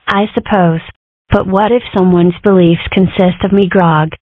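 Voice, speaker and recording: A woman's voice: lowish voice, fairly even intonation, moderate pace, dry recording, lightly acted. Woman playing a wry schoolgirl, phone-like sound. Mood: opinionated. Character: opinionated, mischievous, sarcastic schoolgirl. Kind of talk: comic strip dub. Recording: muffled, phone-like narrow sound.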